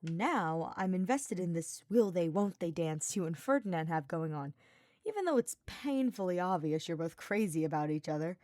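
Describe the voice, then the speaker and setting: A woman's voice: on the low side, animated, moderate pace, a little mellow, no room sound, clean studio sound, natural voice. A woman voicing a cocky, teasing young male knight in an audiobook, casual and slangy. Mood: playful teasing. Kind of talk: audiobook character voice.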